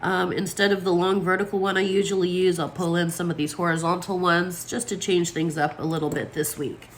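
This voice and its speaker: A woman's voice: fairly low pitch, little pitch movement, brisk, slight background noise. A woman with a froggy, allergy-worn voice chatting casually as she sets up her planner, with plenty of fillers. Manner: chatty, lots of fillers, talks while placing stickers. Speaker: planner vlogger. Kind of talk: casual chat while crafting.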